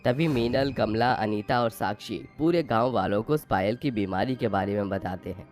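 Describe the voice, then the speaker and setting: Guy narrating a story, lowish voice, scripted storytelling, faint music behind. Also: normal speed.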